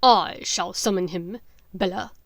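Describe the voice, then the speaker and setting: A woman's voice: medium pitch, unhurried, gravelly, fairly bright tone, dry recording. A woman voicing a haughty aristocratic wizard father in an audiobook, pompous and emphatic about his authority. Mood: self-important. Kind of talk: dramatic audiobook character voice.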